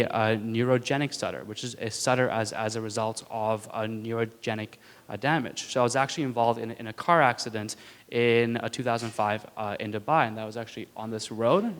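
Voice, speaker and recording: A man's voice: mid-range voice, clean tone. A young man in his twenties from India gives an earnest student talk on stage and stutters audibly, repeating words and sounds, with light jokes for the audience. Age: 20s. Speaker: student speaker. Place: auditorium stage. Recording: long hall reverb.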